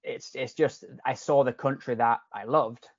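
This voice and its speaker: A man's voice: medium pitch, steady tone, a little slow, no room sound, muffled recording. A man with a Yorkshire accent tinged with Canadian, chatting casually as a podcast guest with lots of restarts and the odd swear word. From England.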